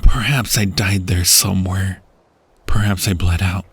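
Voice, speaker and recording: A man playing a dying, war-weary king and loving husband in a fantasy audio roleplay, husky, tender and broken, with archaic phrasing. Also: deep voice, steady tone, measured pace, no echo, full character voice. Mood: broken, sorrowful. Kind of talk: fantasy ASMR roleplay acting.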